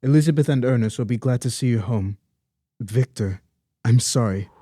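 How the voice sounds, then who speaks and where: Young man playing a mellow, dignified older father. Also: mid-range voice, moderate pace, close to an everyday voice. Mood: grave, consoling. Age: young adult. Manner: formal, paternal. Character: Victor's grieving, protective, dignified older father. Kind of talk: student radio play acting.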